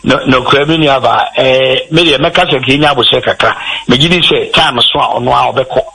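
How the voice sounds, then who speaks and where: A man with a Ghanaian accent, a football pundit on a sports talk show, talking in an animated, rambling way and switching between English and Twi. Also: medium pitch, brisk, gravelly, slightly rough, poor audio. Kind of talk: radio sports discussion.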